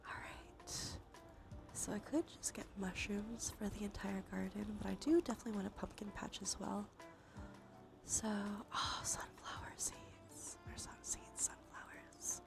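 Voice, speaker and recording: A woman's voice: mid-range voice, unhurried, creaky. Whispering woman doing cozy ASMR gaming commentary. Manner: murmured thinking aloud, musing. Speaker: livestreamer. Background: music.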